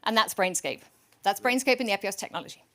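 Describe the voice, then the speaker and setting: A woman chief executive speaks from a conference stage, pitching her company to the investors in the room. Her delivery is energetic and salesy, with a joking edge. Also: fast talker. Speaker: startup CEO pitching to investors.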